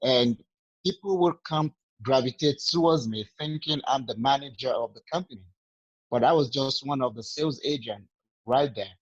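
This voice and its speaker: A man's voice: mid-range voice, normal speed, no room sound, highs cut off. A man with a Nigerian accent, talking earnestly through sales advice in a casual group training session. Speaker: sales agent.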